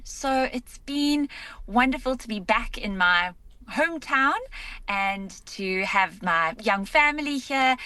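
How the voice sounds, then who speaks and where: A woman's voice: a little high, sharp tone, no echo. Upbeat woman interview guest. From Zimbabwe, raised in South Africa. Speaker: guest, community leader. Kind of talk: interview answers.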